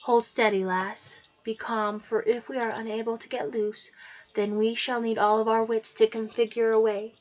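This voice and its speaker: A woman voicing a gallant young hero who speaks in a loud, steady, old-fashioned way. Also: fairly high pitch, normal speed, highs cut off, close to an everyday voice. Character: gallant young male hero with Scottish-style speech.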